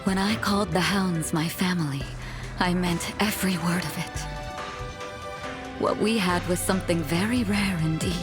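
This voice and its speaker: An actress playing an elegant, regretful motherly villainess in a game cutscene, weakened and dying, speaking in a measured, wistful way. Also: on the low side, close to an everyday voice. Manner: slow, wistful, measured delivery. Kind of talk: dramatic game cutscene acting. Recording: voice over loud background music.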